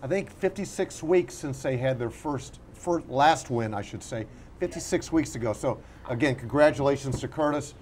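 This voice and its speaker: A man of medium pitch talks sports as part of a panel. He is conversational and folksy, wandering through his points. There is faint ambient noise behind him. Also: normal speed, darkish tone.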